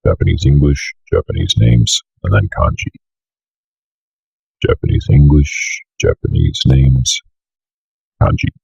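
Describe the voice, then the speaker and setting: A young man with an extremely low, husky voice crackling with fry walks through software steps. He has a slight cold and talks casually, muttering asides as he goes. The recording is close and dry, at a desk. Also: sing-song, unhurried, long pauses, no room sound, muffled recording. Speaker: software tutorial presenter. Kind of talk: casual screen-recorded walkthrough. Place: indoor room at a computer desk.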